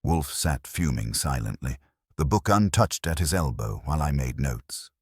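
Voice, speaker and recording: A man's voice: a bass voice, expressive, moderate pace, slightly rough, dry recording, studio quality. A man narrating a scripted first-person detective story in a wry, hard-boiled manner. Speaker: audiobook narrator.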